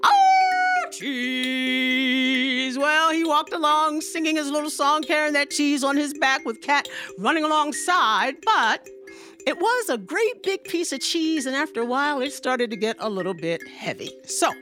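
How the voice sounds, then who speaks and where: A woman telling a story to children in an animated, rhythmic way, drawing out words with playful emphasis. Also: high-pitched, moderate pace, soft background music.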